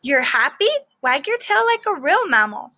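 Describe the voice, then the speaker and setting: A twitchy little male squirrel from a children's storybook, played by a woman. He is prissy and cocky, taunting in a high, sharp voice like a know-it-all. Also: big pitch swings, moderate pace, no room sound, exaggerated voice. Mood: mocking. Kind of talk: animated children's storybook read-aloud.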